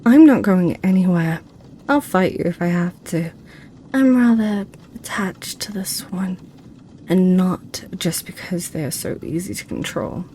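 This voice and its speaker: A woman's voice: on the low side, a little slow, warm tone, a little hiss or hum, lightly acted. A woman playing a seductive, possessive succubus in an ASMR roleplay, with a teasing, sly way of talking. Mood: possessive, smug. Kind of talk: ASMR roleplay audio drama.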